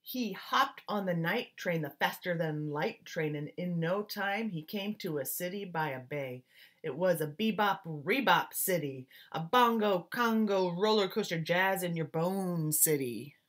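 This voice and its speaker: Expressive woman reading a story aloud. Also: on the low side, a little slow. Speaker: teacher reading a picture book aloud.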